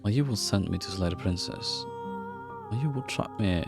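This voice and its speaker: A man's voice: low-pitched, animated, moderate pace, creaky, music in the background. A man who is a native German speaker, reading game text and choices aloud on a livestream. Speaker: game streamer.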